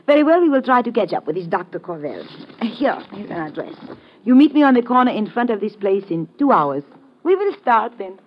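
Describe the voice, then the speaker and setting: An actress playing a sly, flirtatious woman smuggler with an Eastern European accent in a 1950s radio adventure, teasing in measured, formal English. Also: medium pitch, very animated, natural voice. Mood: decisive. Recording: dull, noisy vintage radio recording.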